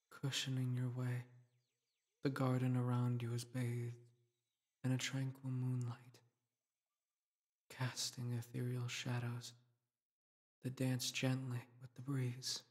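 Guy doing a solemn dream king for a sleep meditation, soft soothing voice, super slow, almost flat, gently protective. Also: mid-range voice. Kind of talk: guided meditation, sleep-aid roleplay.

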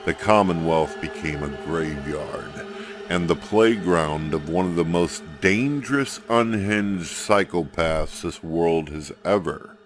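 A male narrator with a very low voice and slow delivery. He reads a scripted story gravely and dramatically, with clear music behind him.

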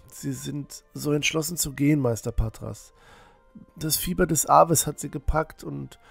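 A man's voice: mid-range voice, vocal fry, a bit bright, dry recording, exaggerated voice. A man playing an earnest, shy teenage village boy in a fantasy audio drama, hesitant and unsure.

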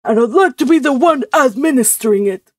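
A woman voicing an indignant, scornful older uncle in an audiobook, forceful and growling. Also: high-pitched, lively intonation, fairly quick, no echo, clean studio sound, pitched above the performer's own voice. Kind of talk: audiobook character voice.

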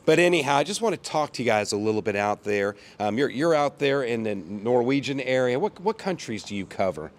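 A man in his sixties from the United States, hoarse from a cold, giving an upbeat folksy sales pitch outdoors. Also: big pitch swings, fairly quick, hardly any pauses. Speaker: company owner. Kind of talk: promotional chat to camera. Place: outdoors by a brick wall.

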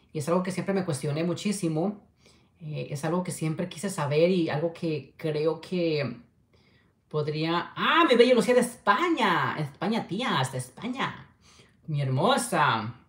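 A man, chatting affectionately on a livestream and reading viewer comments. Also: fairly high pitch. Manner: affectionate, chatty, keeps urging likes. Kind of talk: casual livestream chat. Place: small home room with window. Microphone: webcam at desk distance. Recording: echoey room.

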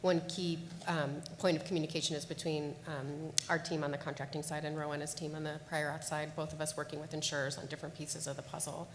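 A woman with a low voice speaks on a panel in a large conference hall. She answers in a measured way, pausing on fillers and restarting her phrases. The sound is roomy, with a long reverb and a faint hum. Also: flat delivery. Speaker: panelist on payer contracting.

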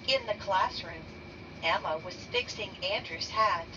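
A woman reading a children's picture book aloud slowly and deliberately, raising her pitch for the characters' lines. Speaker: read-aloud narrator. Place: bedroom, indoors. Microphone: phone camera held over the book. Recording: dull lo-fi sound from a distance with noise.

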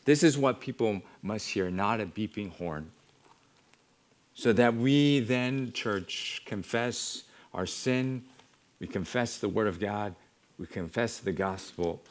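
A middle-aged American man preaching in a measured, earnest manner, building his exhortation on repeated parallel phrases. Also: on the low side. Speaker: pastor preaching. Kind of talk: sermon. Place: church sanctuary, at a wooden pulpit.

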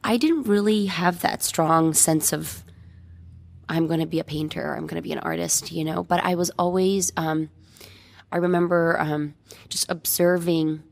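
A woman's voice: on the low side, fairly even intonation, normal speed, gravelly. A woman from Bosnia, a painter, talking reflectively in a casual interview and hunting for words with many fillers. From Bosnia and Herzegovina.

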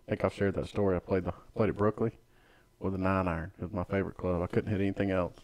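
A man's voice: low-pitched, little pitch movement, rapid-fire, a little mellow. A man hosting a casual podcast chat in an easygoing, teasing way. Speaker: podcast host. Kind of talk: casual chat about golf.

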